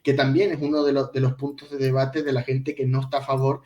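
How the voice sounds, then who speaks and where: A man co-hosting a podcast in conversational financial news discussion, explaining things haltingly with repeated words. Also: mid-range voice, flat delivery, dark tone, muffled recording. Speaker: podcast co-host. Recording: roomy echo, distant from mic.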